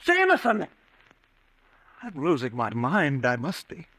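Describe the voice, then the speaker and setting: An actor playing an anxious, haunted businessman in 1940s radio suspense style, agitated and halting in his speech. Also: on the high side, huge pitch swings, sharp tone, exaggerated voice. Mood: frantic, panicked. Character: haunted, anxious businessman fearing he is going mad. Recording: dry vintage radio drama recording.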